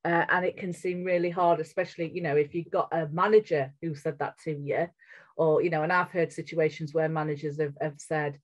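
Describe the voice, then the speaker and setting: A woman's voice: deep voice, steady tone, brisk. A middle-aged woman with a strong northern English accent, speaking earnestly and candidly as a guest in a podcast interview. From England. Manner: earnest, candid, with frequent restarts. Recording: muffled, lo-fi audio.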